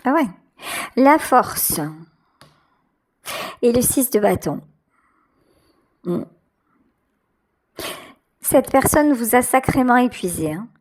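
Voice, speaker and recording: A woman giving an unscripted tarot reading directly to the listener, halting and repeating her phrases. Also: on the high side, animated.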